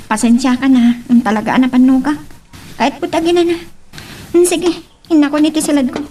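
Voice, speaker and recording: A woman's voice: sits high, steady tone, mellow, distant mic, close to an everyday voice. A woman playing a timid, flustered young woman in an audio drama, hesitant and politely evasive. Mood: apologetic. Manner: hesitant, halting. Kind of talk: Ilocano radio-style drama acting.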